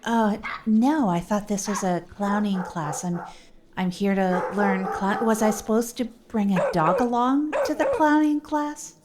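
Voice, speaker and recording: A woman's voice: medium pitch, a little slow, background noise, natural voice. A woman playing an upbeat, awkward, down-on-their-luck local radio host in an improvised comedy audio drama, chatting informally and hesitantly. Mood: awkward, confused. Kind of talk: improvised comic monologue, chatty radio storytelling.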